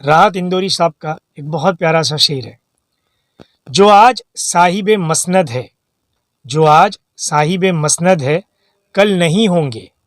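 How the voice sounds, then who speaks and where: Man, high voice, emphatic, exhortative, political speech, English words mixed in, dry sound. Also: slow, no room sound. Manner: exhortative, emphatic, repeats phrases for effect. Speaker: political activist giving a statement. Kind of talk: impassioned political appeal to camera.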